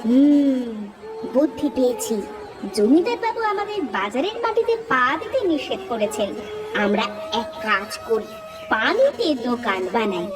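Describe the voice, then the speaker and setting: A woman voicing a feisty, resourceful village wife in a cartoon, sharp-tongued and decisive. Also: sits high, big pitch swings, a little slow, over music, rough recording. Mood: decisive, scheming. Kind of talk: cartoon dub acting.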